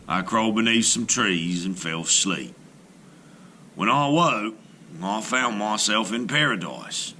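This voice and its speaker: Male narrator for a read-aloud folktale: mid-pitched, gravelly, expressive and deliberate. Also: slight background noise. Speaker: storyteller reading aloud. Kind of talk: dramatic first-person folktale narration.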